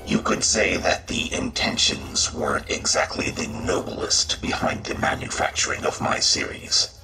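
Very deep, gravelly polite droid. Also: male voice, unhurried, sharp tone, far from the mic, heavily acted, poor audio. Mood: polite, apologetic. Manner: formal, courteous, precise. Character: polite, apologetic reprogrammed combat droid co-pilot. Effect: robotic voice filter.

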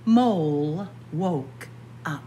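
A woman reading a picture book aloud to young children in an expressive manner, addressing them directly as friends. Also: sits low, extremely slow, slight background noise. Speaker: library story time reader. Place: indoors in a library, by bookshelves.

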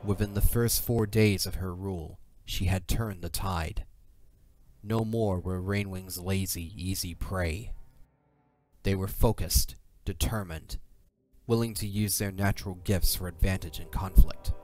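A man's voice: deep voice, fairly even intonation, measured pace, a little mellow. A man narrating a scripted, dramatic fantasy story as the narrator of an audio drama. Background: music.

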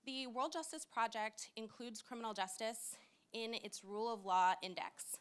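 A woman's voice: a little high, normal speed, clean tone. A woman from the United States in her forties giving a passionate conference talk with a handheld mic. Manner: earnest, passionate. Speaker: conference speaker. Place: conference room with audience.

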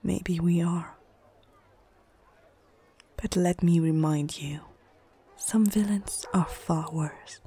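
A woman playing a stern, proud female orc chieftain in a whispered ASMR fantasy roleplay, speaking in short, measured phrases with a husky, breathy voice. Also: lowish voice, warm tone, slight background noise. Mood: grim, threatening.

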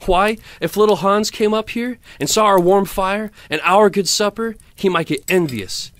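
An actor playing a smug, self-righteous miller in an audio drama, with a pompous, preachy manner. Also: male voice, high-pitched, moderate pace, a bit bright, no echo. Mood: scolding, pompous. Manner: pompous, sententious. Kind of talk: theatrical audio drama acting.

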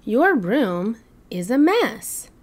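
Firm mama dinosaur, played by a woman, storybook parent, high raised voice, swooping, measured instructions. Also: big pitch swings, heavily acted. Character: firm mother dinosaur who likes tidiness.

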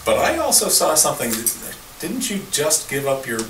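A man in his fifties hosting a casual chat, easygoing and quick to laugh, recorded from across an office room. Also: fairly high pitch, sing-song. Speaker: host. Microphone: far camera mic. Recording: roomy, distant, faint hiss.

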